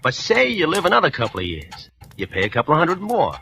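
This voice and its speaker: A small-time hustler in an old radio play, pressing his case with slangy, coaxing patter. His voice is bright and edgy, and it swoops up and down a lot. Faint hiss sits under him. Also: fairly high pitch, wildly swooping pitch, fast talker, creaky, heavily acted. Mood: wheedling. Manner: pushy, slangy, wheedling salesman patter. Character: pushy, scheming grown son, a small-time hustler. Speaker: scheming son selling a scheme. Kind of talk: 1940s radio drama acting.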